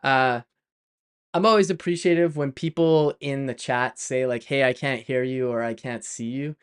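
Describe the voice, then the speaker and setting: Canadian dude streaming, smooth clear voice, rambly, laughs a lot, lots of "like" and "uh". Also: male voice, medium pitch, fairly even intonation, normal speed. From Canada (Montreal). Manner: chatty, laughs often, many fillers and restarts. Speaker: live streamer. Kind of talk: casual live-stream chat.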